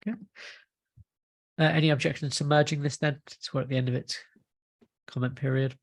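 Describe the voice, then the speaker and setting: A man with a husky, gravelly voice at a middle pitch runs a work call. He moves casually through agenda items, with a dry, close sound. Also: fairly even intonation, fairly quick, no echo. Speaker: meeting chair. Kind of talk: casual meeting facilitation. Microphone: video call.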